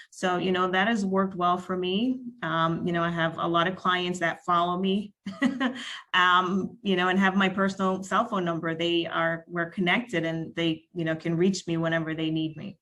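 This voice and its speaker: Flat low-voiced woman panelist. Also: normal speed. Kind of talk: panel discussion.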